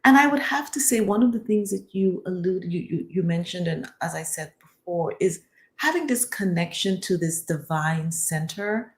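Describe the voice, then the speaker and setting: A woman from the Caribbean, born in Guyana and raised in Barbados, a guest author telling her story in an interview with an earnest, measured manner. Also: on the low side, animated. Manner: earnest and measured, builds lists of phrases.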